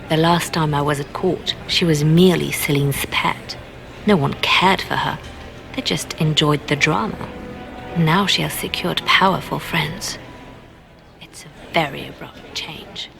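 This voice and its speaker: An actress playing a shrewd, guarded, businesslike spymaster in a fantasy video game. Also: female voice, low voice, steady tone, unhurried, creaky, music in the background, exaggerated voice. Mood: guarded, intent.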